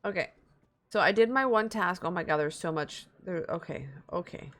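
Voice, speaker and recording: A woman's voice: on the low side, moderate pace, fairly bright tone. An American woman live streamer chatting casually as she games, informal, sweary and easily distracted. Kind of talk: casual gaming livestream chat.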